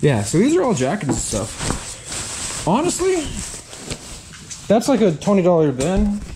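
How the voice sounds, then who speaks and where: A man talks his way through a storage unit haul in an unhurried, chatty voice, tossing off wry little asides. He is recorded on a head-mounted action camera in a metal-walled storage hallway. Plastic crinkling and rustling noise sits clearly behind him. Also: sits high, huge pitch swings. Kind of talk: casual vlog narration while unboxing. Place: indoor self-storage hallway, metal walls.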